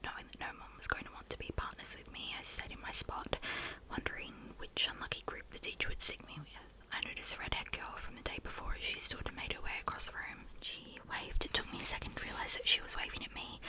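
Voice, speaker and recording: A woman's voice: fairly quick, poor audio. Whispering woman reading a story. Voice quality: breathy whisper throughout. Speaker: reader of a story. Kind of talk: whispered ASMR-style reading of a teen novel.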